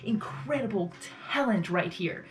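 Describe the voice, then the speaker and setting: A woman's voice: medium pitch, lively intonation, measured pace, faint music behind. A woman giving casual live reaction commentary as a video host, effusive and rambling, often repeating her phrases.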